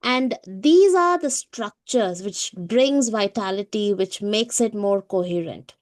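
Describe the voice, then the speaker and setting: Conversational South Indian woman, mid-pitched voice. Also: animated, slow pace. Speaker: co-host. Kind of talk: casual spiritual discussion.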